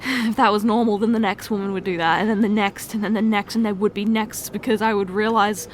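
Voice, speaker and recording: A woman voicing a heartbroken young fiancée who stumbles over her words and keeps breaking off to restart. Her voice sits at an ordinary middle pitch for a woman. Steady noise and vehicle rumble run under the recording. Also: lightly acted. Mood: distraught. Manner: halting, with stammered restarts. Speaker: ASMR roleplay performer. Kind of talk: ASMR roleplay.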